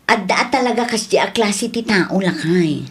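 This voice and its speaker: A woman's voice: medium pitch, expressive, moderate pace, lightly acted. A woman with an Ilocano accent playing an opinionated village gossip in a radio drama, speaking emphatically. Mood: dismissive.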